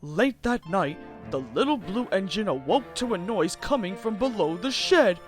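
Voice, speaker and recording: A woman reading a children's storybook aloud as an expressive narrator, with music underneath. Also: mid-range voice, normal speed. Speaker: storybook reader.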